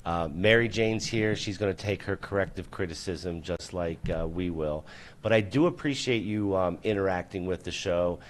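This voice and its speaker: A man's voice: deep voice, normal speed. A man hosting a casual live talk show, rambling and loose, with many fillers and some swearing. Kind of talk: casual live-stream chat.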